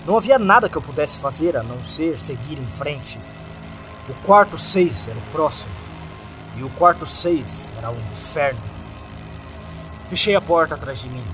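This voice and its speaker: A man with a Brazilian accent narrates a horror story in a fairly high voice. His pitch moves in wide, lively swings through the scripted first-person telling, with music playing behind him. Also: normal speed, low-quality recording.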